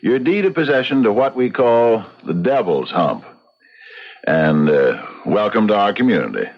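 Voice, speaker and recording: A man's voice: fairly low pitch, big pitch swings, normal speed. An American man acting a plain-spoken frontier sheriff in a radio drama, folksy and wary, with hesitant country turns of phrase. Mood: easygoing, official. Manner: folksy drawl, hesitant, colloquial. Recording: dull, lo-fi old radio recording.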